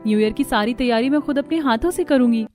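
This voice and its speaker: A woman's voice: high-pitched, fast talker, a little mellow, lightly acted. A woman voicing a caring young housewife daughter-in-law in a cartoon story, speaking politely and earnestly, eager to explain herself. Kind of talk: Hindi cartoon story dialogue. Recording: music behind the voice.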